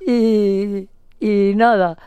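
Older spanish lady chatting away, lots of restarts, smooth clear voice. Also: medium pitch, steady tone, very slow, warm tone, no room sound. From Spain. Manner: chatty, playful, rambling with many restarts. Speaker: interviewee. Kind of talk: casual phone interview.

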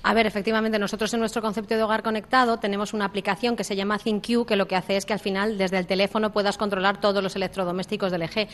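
A woman's voice: medium pitch, flat delivery, quick pace, few pauses. A woman, a company spokesperson giving fluent, explanatory promotional answers in an interview.

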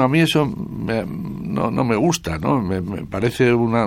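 An older man from Spain talks through a radio interview, thinking aloud and restarting his phrases often. His voice sits very low, and the sound is close and dry with no room to it. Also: dry recording. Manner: thoughtful, hesitant, many restarts. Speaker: guest author.

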